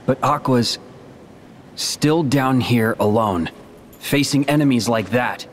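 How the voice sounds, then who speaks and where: A man playing a brave, brooding young warrior with a serious, measured delivery in a video game. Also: mid-range voice, long reverb tail, a little hiss or hum, lightly acted. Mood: troubled, earnest.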